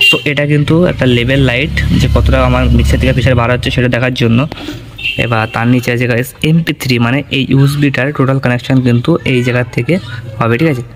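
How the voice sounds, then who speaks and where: A man talks rapidly at a middling pitch as he reviews audio gear. He explains things informally and keeps checking that the listener is following. Faint ambient noise sits behind his voice. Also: steady tone. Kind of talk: informal product walkthrough.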